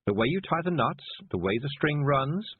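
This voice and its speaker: A man voicing a kindly, patient woman witch who explains gently, with a husky voice. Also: fairly high pitch, big pitch swings, normal speed, pitched above the performer's own voice. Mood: explaining. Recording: dry tinny phone-like sound.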